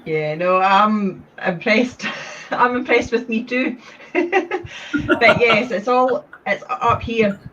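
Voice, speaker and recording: A woman speaks slowly and earnestly as an interview guest, pressing her points with emphasis. She sits away from the microphone, and the call audio sounds dull, with faint noise behind. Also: on the high side, expressive, a bit bright, recorded from a distance. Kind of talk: casual interview chat.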